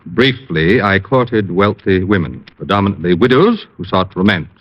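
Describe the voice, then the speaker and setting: Middle-aged genteel ex-swindler, nervous and formal, very low voice, 1940s radio drama on a dull recording. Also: male voice, very animated, moderate pace, no room sound. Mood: confiding. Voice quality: clicking new false teeth. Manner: hesitant, formal, genteel and indignant.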